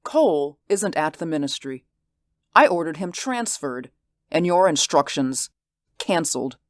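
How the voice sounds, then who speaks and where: A man voicing a composed, hard-edged older woman who leads a council, speaking quietly and firmly. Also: on the high side, big pitch swings, measured pace, dry recording, clean studio sound, pitched above the performer's own voice. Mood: stern. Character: cool, commanding older woman council leader.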